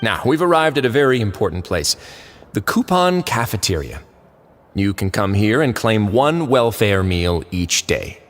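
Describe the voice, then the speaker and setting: A man voicing a calm, composed male prison warden in a video game, measured and wry as he reasons things out. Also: on the low side, faint music behind. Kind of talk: game voice acting.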